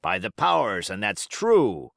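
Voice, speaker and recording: A man's voice: on the low side, wildly swooping pitch, unhurried, vocal fry, fairly bright tone, dry recording, studio quality, heavily acted. A man voicing a cunning, commanding pirate cook with folksy seafaring dialect and emphatic oaths, in an audiobook. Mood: uneasy. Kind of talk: audiobook character voice.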